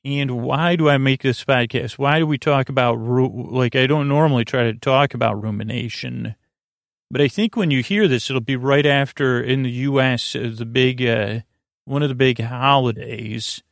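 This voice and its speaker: An American man hosting a sleep podcast in a rambling monologue, halting and often interrupting himself as he meanders along. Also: medium pitch, vocal fry, no room sound, clean studio sound. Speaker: podcast host.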